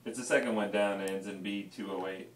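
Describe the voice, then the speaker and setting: A man with a fairly low voice talks casually as an investor on a business call. He is in a very echoey room, away from the mic, with noise around him. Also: fairly even intonation, fast talker, recorded from a distance. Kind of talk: casual business phone conversation.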